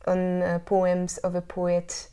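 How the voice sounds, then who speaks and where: A woman's voice: fairly low pitch, completely flat delivery, slow pace, warm tone, clear, resonant tone. A woman with a Hungarian accent, a singer answering interview questions thoughtfully, often restarting her sentences as she searches for words. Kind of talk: casual interview talk.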